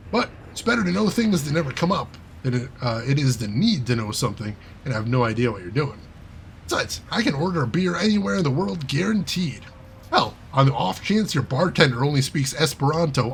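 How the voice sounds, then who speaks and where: A man's voice: medium pitch, vocal fry, a little hiss or hum, pitched below the performer's own voice. A man reading aloud and voicing a wry male hacker character who tells a self-deprecating heist story in a chatty way.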